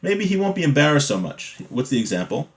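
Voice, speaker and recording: A man's voice: a little high, very animated, a little fast. Man teaching an informal religious law lesson. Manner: explanatory, checks in with rhetorical questions.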